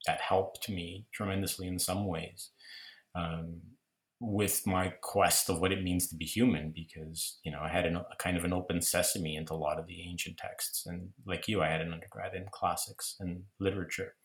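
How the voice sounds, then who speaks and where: Deep-voiced reflective man, roomy echo. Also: level tone, normal speed. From Toronto, Canada. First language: Greek. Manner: reflective, rambling, many restarts. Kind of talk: casual conversation.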